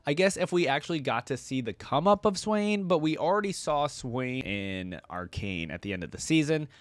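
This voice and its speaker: A man's voice: on the high side, expressive, moderate pace, no room sound. A man giving casual, conversational reaction commentary to viewers, thinking aloud in a rambling way. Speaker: video creator reacting to game lore.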